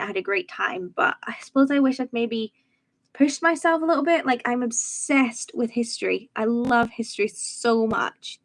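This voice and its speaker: A young woman from Edinburgh with a soft, somewhat posh-sounding Scottish accent, chatting casually and rambling during a crafting livestream. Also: medium pitch. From Edinburgh, Scotland. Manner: chatty, rambling, laughs easily, many "um"s and "like"s. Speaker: YouTube livestream host. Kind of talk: casual chat while crafting.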